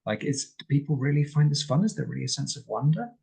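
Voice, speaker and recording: Dry, polite, reserved man out of his element, played by a man in a tabletop game; gravelly, rough-edged, halting delivery. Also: medium pitch, lively intonation, dark tone. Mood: uneasy, baffled. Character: reserved, baffled, dry-witted man uneasy at a circus. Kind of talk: in-character tabletop roleplay.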